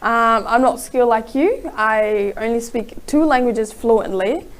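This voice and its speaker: Young woman, from South Sudan, native Dinka speaker, fairly high pitch, chatty panel talk. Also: a little slow. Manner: chatty, heavy use of "like" fillers. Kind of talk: casual panel discussion.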